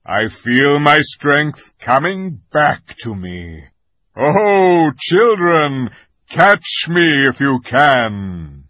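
A man voicing a majestic male lion who speaks slowly and solemnly, grave but gentle. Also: on the low side, animated, dry recording, muffled recording. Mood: joyful. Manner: slow, solemn delivery.

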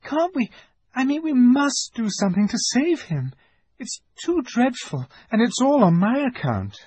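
A man's voice: on the high side, expressive, normal speed, darkish tone, breathy edge, no echo, pitched above the performer's own voice. A man voicing an earnest, anxious young girl in a storybook, with a polite, hesitant, pleading manner. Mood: pleading, distressed. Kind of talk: dramatized storybook reading.

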